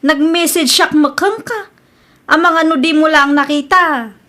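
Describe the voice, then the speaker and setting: High-voiced, self-assured young Ilocano niece played by an actress. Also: female voice, a little slow, sharp tone. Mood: defensive. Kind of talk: Filipino radio comedy drama acting.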